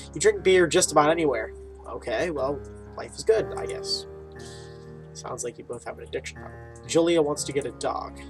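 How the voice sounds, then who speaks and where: Higher-voiced man doing casual game commentary. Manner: informal, rambling, wry asides. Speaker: video game playthrough commentator. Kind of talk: casual gameplay commentary, reading on-screen dialogue aloud. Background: game music.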